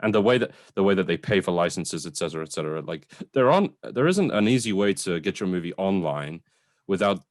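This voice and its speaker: A man's voice: deep voice, expressive, quick pace, dry recording, studio quality. A man in casual discussion as a film review co-host, wordy and circling toward his point.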